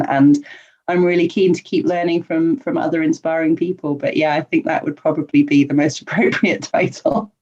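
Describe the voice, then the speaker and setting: Earnest woman guest on a muffled line. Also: sits low. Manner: warm, earnest, frequent laughs and ums. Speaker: podcast guest, life coach. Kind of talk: casual interview answers. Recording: dull lo-fi remote audio.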